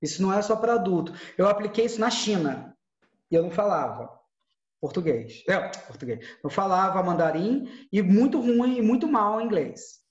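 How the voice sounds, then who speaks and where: A man's voice: sits high, expressive, normal speed. A man teaches an acting class. He talks through examples and often restarts his sentences. His voice comes through a dull, echoey room. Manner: explains with examples, many restarts.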